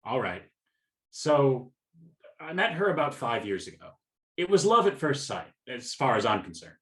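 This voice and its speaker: A man's voice: medium pitch, sing-song, normal speed, a bit bright. A man playing an awkward, flustered, lovelorn customer in a radio play, hesitant and self-deprecating as he talks. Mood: confiding. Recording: roomy, echoing sound.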